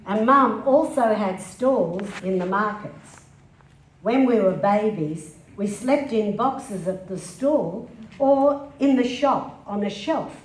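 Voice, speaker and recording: A woman reader as a nostalgic daughter of migrant traders, speaking slowly at a middling pitch. It is a staged reading of memories in an echoey hall with faint noise. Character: migrant traders' child fondly remembering market days. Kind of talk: dramatic reading of childhood memories. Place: indoor live literary event.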